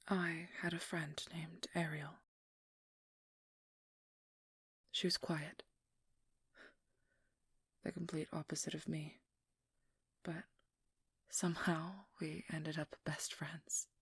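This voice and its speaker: A woman playing a guarded, protective young vampire hunter in a hushed, breathy ASMR roleplay, speaking slowly with halting pauses close to the mic. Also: low-pitched, no room sound, heavily acted. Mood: somber, confiding. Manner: slow, halting, intimate delivery with pauses. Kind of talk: whispered ASMR roleplay, dramatic monologue. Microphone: close microphone, dry.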